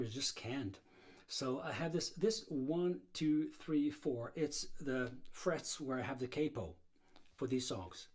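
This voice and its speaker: A man's voice: medium pitch, a little mellow. A middle-aged man from Serbia, hosting a blues guitar livestream in a casual, rambling way with many fillers and restarts.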